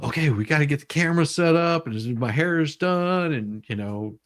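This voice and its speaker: A man's voice: fairly high pitch, expressive, normal speed, dry recording, studio quality. A man hosting a casual livestream, chatting in an informal, rambling way. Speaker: livestream host.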